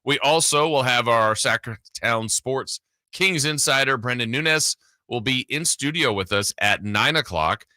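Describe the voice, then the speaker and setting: A man's voice: mid-range voice, measured pace, sharp tone, no echo. A man hosting a sports radio show, chatting casually in a rambling, conversational way close to the mic. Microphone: close studio broadcast mic.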